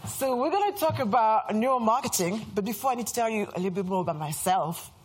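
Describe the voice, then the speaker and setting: A woman's voice: fairly high pitch, animated, quick pace, slight background noise. A French woman in her thirties with a French accent, giving a chatty, self-mocking talk to a live audience. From France. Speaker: speaker presenting to an audience. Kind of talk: lively presentation talk. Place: event room before a live audience.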